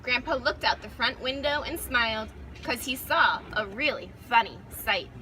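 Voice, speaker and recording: A woman's voice: on the high side, moderate pace, sharp tone. A woman reading a children's picture book aloud expressively, recorded outdoors. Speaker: storybook reader. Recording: noisy outdoor recording with traffic.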